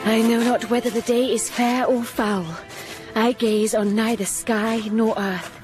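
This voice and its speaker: An actress playing a quiet, reflective young bride narrating a radio drama. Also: female voice, fairly high pitch, fairly even intonation, measured pace, music in the background, natural voice, poor audio.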